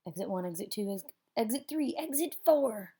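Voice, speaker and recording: A woman reads a children's picture book aloud in an expressive, theatrical way, with dramatic pauses and emphasis. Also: medium pitch, husky.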